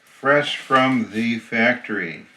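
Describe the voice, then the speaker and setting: A man's voice: mid-range voice, lively intonation, slow, pushed and bright, a little hiss or hum, rough recording. A man casually narrating an unboxing of a radio key, explaining each part plainly as he shows it.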